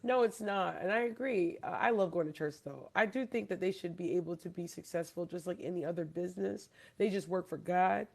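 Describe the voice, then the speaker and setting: Woman host from Hawaii, gravelly voice, rambling emphatic talker, keeps quizzing her guests. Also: on the low side, a little fast, hardly any pauses, darkish tone. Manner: rambling, emphatic, asks listeners questions. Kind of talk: casual debate chat.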